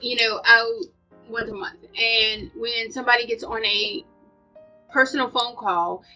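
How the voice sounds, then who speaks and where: A woman's voice: on the high side, steady tone, measured pace, bright, forceful tone. A woman with an American accent chatting casually and emphatically as a vlog co-host, repeating her points for stress. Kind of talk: casual opinionated chat. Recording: dull lo-fi audio, music underneath.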